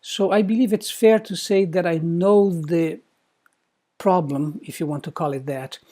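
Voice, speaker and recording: Mellow middle-aged man. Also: a little high, fairly even intonation. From Brazil. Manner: measured, formal, articulate. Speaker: university professor, guest speaker. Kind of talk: prepared recorded talk.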